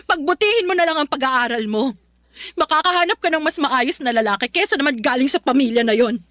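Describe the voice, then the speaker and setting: A woman's voice: high voice, expressive, a little fast, fairly bright tone, no echo, studio quality. An actress playing an anxious, nagging middle-aged mother in a radio drama. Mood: fretful scolding. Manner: fretful, scolding, tearful at times. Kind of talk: Filipino radio drama acting.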